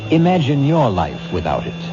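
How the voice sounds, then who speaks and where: A man hosting a radio drama with formal, measured, ominous scripted narration. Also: mid-range voice, huge pitch swings, noisy background. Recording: muffled old radio broadcast. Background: loud music.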